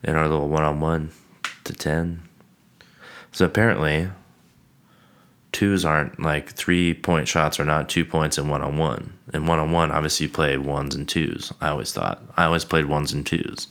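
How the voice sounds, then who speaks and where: Very deep-voiced man hosting a casual podcast. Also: steady tone, normal speed, fairly bright tone. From Washington, D.C. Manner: easygoing, rambling, self-correcting. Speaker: podcast host. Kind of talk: casual chat.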